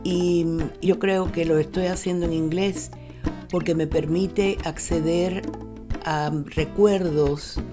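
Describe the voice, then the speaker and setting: An older woman looks back on her life in an interview. She talks reflectively, stumbles and restarts her words, drops in English phrases, and grows animated when she retells old scenes. Soft music plays faintly underneath. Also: fairly low pitch, slow pace. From Cuba. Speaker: interviewee, university professor. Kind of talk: reflective personal storytelling.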